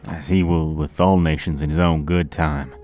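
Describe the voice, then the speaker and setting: A man's voice: very deep voice, steady tone, moderate pace, darkish tone, faint music behind. A man doing a nasal, smug young zealot.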